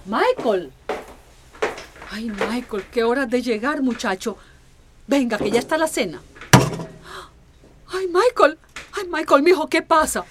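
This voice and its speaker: An actress playing a weary, worried older guardian in a radio drama, plaintive and full of sighing exclamations. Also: female voice, high-pitched, animated, unhurried, pauses often, noisy background. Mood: alarmed, scolding.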